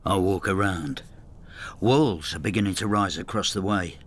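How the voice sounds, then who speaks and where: A man's voice: deep voice, normal speed, a bit bright, husky. An actor playing an embittered, spiteful old husband who narrates his marital war in a brooding, intimate radio drama style. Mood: bleak. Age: older.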